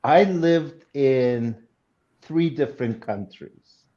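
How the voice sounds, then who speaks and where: A man in his sixties from Turkey, a guest telling his story slowly and in measured phrases on a video call. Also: medium pitch, mellow, highs cut off. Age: 60s. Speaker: guest speaker. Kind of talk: online interview.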